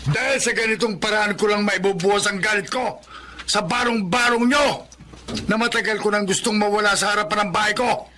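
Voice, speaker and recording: A man's voice: high-pitched, fairly quick, pushed and bright, slight background noise. A man playing a spiteful, abusive old neighbor in a Filipino melodrama, sneering and hot-tempered. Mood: angry, scornful. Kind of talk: Filipino melodrama audio acting.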